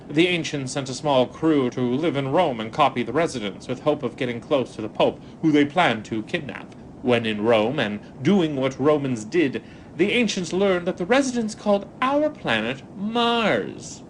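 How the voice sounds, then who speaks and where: An actor playing a pompous, bloodthirsty Martian warrior villain in an audio drama, given to theatrical speeches. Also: male voice, on the high side, slight background noise, low-quality recording.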